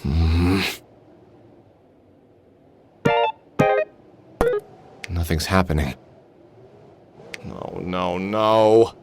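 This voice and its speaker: A man's voice: deep voice, extremely slow, music in the background. An actor playing a weary, sardonic middle-aged man who broods and mutters to himself in a video game. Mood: sardonic, exasperated. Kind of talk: video game voice acting, brooding inner monologue and dialogue.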